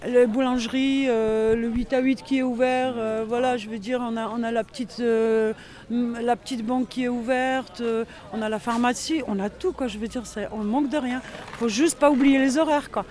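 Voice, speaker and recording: A man's voice: extremely high pitch, fairly even intonation, normal speed, darkish tone. A man interviewed about village life, talking casually and hesitating with many fillers. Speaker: villager interviewed on local radio. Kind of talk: street interview, casual talk.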